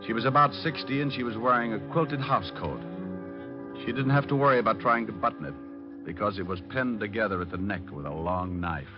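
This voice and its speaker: An actor playing a breezy, wisecracking private detective in 1940s radio style, flippant and deadpan. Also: male voice, lowish voice, fairly quick, close to an everyday voice. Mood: wry narrating. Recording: muffled old radio recording with music.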